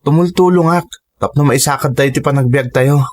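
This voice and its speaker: A man's voice: fairly high pitch, brisk, close to an everyday voice. A man playing a dutiful, respectful young student in an audio drama, speaking earnestly. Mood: reassuring. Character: dutiful, earnest young male student, self-sacrificing son.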